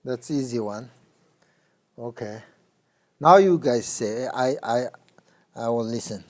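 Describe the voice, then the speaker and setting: A man with a Tibetan accent teaches a small class in a very unhurried way. He recites mantra syllables slowly, then explains practice in broken, hesitant phrases with lots of "you know" and "uh". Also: mid-range voice. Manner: slow chanting, then halting conversational explanations with fillers. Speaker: Buddhist teacher. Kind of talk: dharma teaching with mantra chanting. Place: teaching room with students.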